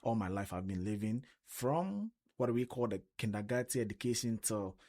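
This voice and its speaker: An earnest young man from Ghana with a Ghanaian accent and a lowish voice. He talks warmly and at length as a new radio co-host, repeating words and filling pauses with "I mean" as he gathers his thoughts. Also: lively intonation. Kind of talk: casual radio interview.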